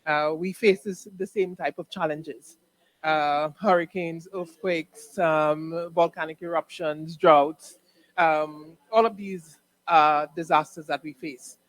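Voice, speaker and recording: A man with a Dominican Caribbean accent giving formal conference remarks as an ambassador, in measured, deliberate phrases. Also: fairly high pitch, steady tone, a bit bright. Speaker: ambassador, panel speaker.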